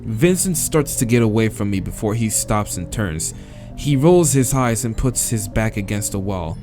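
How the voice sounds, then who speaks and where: A man's voice: fairly low pitch, animated, moderate pace, darkish tone, faint music behind. A man reading visual novel narration aloud as a let's player, with casual, crude asides.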